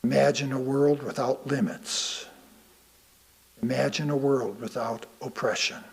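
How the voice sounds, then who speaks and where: A man reading a sermon aloud from a script, deliberately and with emphatic pauses. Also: mid-range voice, creaky, breathy edge, rough recording. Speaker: lay reader of a sermon.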